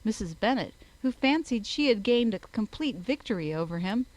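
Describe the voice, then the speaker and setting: A woman's voice: fairly high pitch, expressive, moderate pace, no echo, poor audio. Woman narrating a classic novel. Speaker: audiobook narrator.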